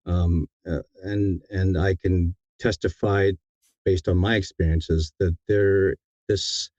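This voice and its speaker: A man speaking as a guest in a casual interview, in a halting, reflective and sincere way. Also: low-pitched, steady tone, darkish tone, no room sound, muffled recording. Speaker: guest, audiobook narrator.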